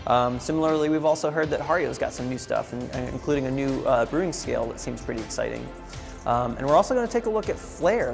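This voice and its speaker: A man's voice: medium pitch, vocal fry. A young man hosting a trade show walkthrough, talking casually and upbeat with a lot of fillers, in a large convention hall. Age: young adult. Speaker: coffee equipment show host.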